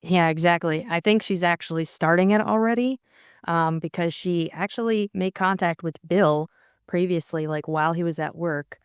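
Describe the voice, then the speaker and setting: A woman's voice: on the low side, normal speed, smooth, no echo, studio quality. A young adult woman co-hosting an audiobook, reading narration and casually discussing the book.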